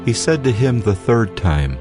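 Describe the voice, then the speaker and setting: A male Bible narrator with a low voice reads scripture steadily and solemnly. Music plays clearly under the narration. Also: big pitch swings, measured pace.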